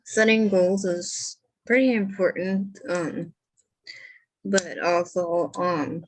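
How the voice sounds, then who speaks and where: A woman hosting a group video call, speaking hesitantly with many pauses. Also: fairly low pitch, steady tone, low-quality recording. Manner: slow, hesitant, many ums. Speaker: meeting host. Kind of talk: casual group discussion.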